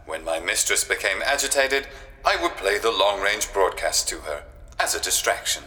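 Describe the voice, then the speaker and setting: Low, reverberant robot ship computer. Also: male voice, animated, normal speed, sharp tone. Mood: matter-of-fact. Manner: clipped, formal machine-like announcements. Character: quirky ship robot computer, formal, drily mischievous. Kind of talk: science fiction audio drama acting.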